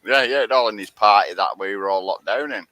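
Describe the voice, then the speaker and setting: A man's voice: lowish voice, fairly quick, pushed and bright, dry recording. A man in his forties joking around on a live stream, teasing his friend and swearing freely. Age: 40s. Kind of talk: casual banter.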